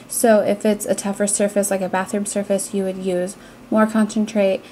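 Young american lady doing casual vlog voice-over, mellow and conversational, bit of noise behind her. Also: medium pitch, little pitch movement, normal speed. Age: young adult. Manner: conversational, explanatory. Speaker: cleaning vlogger.

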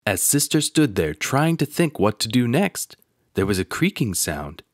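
A man narrating a children's picture book aloud in an expressive storytelling manner. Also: medium pitch, measured pace, no echo, studio quality.

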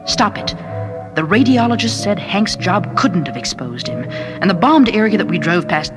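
A woman's voice: on the low side, big pitch swings, normal speed, music in the background. A woman voicing a nervous expectant young wife who scolds herself with urgency in a short story. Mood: anxious, self-chiding. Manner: self-chiding, urgent.

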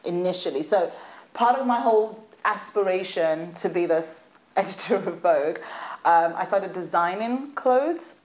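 A woman, a guest in a studio interview, telling stories in an animated, chatty way and laughing at times. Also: lowish voice, measured pace. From United Kingdom. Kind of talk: casual interview chat.

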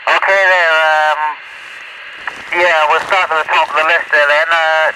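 A man's voice: a little high, little pitch movement, unhurried. A man acting as net controller, calling stations casually over CB radio. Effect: heard over CB radio. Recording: noisy CB radio transmission.

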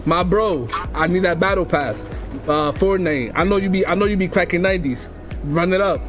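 A man's voice: sits high, moderate pace, over music. A young man on a headset making prank phone calls from home, pushy and demanding, with lots of street slang. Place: home streaming room, indoors.

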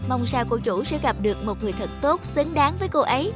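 A woman playing a young, devoted, lovestruck female vehicle in an animated cartoon, with a sweet, earnest and expressive delivery. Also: high-pitched, quick pace, music in the background. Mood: wistful.

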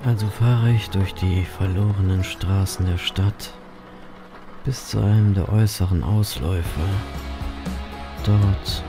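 A man's voice: low-pitched, steady tone, unhurried, over music. A man playing a weary, wry reaper who narrates a noir horror audio drama in a dry, brooding way. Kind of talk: scripted first-person noir narration, German audio drama.

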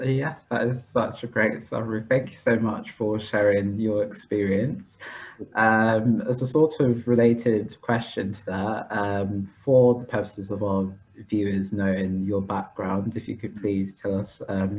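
A man interviewing a guest on a video call, polite and measured. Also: on the low side, fairly even intonation, a little mellow, lo-fi sound. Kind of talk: interview questions. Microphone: headset on video call.

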